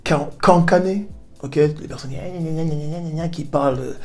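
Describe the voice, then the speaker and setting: Man, mellow tone, casual self-help coaching, talks straight to the viewer, playful asides. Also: a little high, moderate pace, soft background music. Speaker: self-help video host. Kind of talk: direct-to-camera coaching talk. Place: home in southern Spain.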